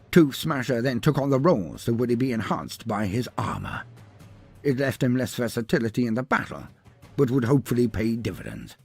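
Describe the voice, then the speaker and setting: A man's voice: medium pitch, creaky, husky. A man narrating a scripted story in a dramatic, grandiose, theatrical manner.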